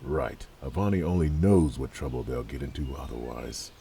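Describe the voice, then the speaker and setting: A man playing a dry, weary royal knight and protector in a fantasy audio drama, with a gravelly voice. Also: rumbling low, lively intonation, normal speed, warm tone, slight background noise, exaggerated voice. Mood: dry exasperation.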